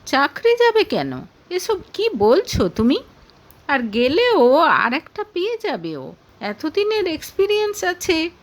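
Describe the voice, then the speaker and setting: A woman's voice: sits high, wildly swooping pitch, takes it slowly, voice pushed up, full character voice. A woman voicing a meek, placating young man in an audio story, defensive in manner. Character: meek, placating young man under his mother's thumb.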